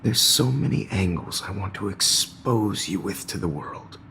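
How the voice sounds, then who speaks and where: An actor playing a middle-aged American photography teacher in a video game, smug, theatrical and predatory. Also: male voice, sits low, lively intonation, unhurried, gravelly, pushed and bright, slight background noise, heavily acted. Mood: creepy, menacing. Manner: theatrical, lecturing.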